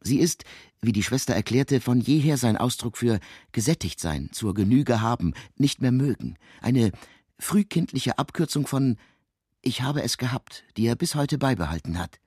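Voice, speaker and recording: A fond, cultivated figure in a literary radio drama. His voice is husky and mid-pitched, in a dry studio sound. The delivery is articulate and courteous. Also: expressive, moderate pace, a little mellow, dry recording. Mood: admiring. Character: cultivated, fond man narrating and welcoming.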